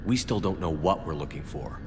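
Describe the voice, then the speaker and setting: A man playing a steady, decisive male military commander with a clipped, commanding delivery. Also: sits low, brisk, music in the background, lightly acted. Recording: reverb and clear noise.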